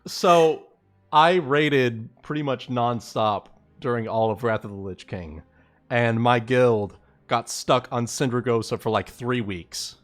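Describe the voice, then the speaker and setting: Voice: mid-range voice, slow pace, faint music behind. A game livestream player chatting casually in a joking, sweary way. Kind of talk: casual chat.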